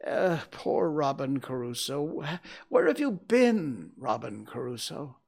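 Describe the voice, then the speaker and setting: A man's voice: a little high, animated, normal speed, pitched above the performer's own voice. A man voicing an old man reliving his childhood in a storybook reading, exclamatory, stammering and sentimental. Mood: excited.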